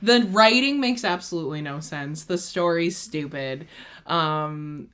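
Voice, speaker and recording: A woman's voice: low-pitched, unhurried, a bit bright, smooth. A young woman co-hosting a casual chat, rambling enthusiastically with lots of "like". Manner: gushing, rambling, heavy use of "like". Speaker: co-host.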